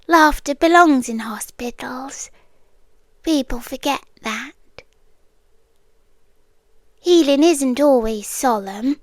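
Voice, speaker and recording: An eerie, possessive lady doctor in an ASMR roleplay, acted by a woman, with a high, soft British voice that often sinks to a whisper. She speaks slowly and tenderly, very close and dry, with no room around her. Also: no echo, exaggerated voice. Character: eerie, tender, possessive female doctor haunting an empty hospital.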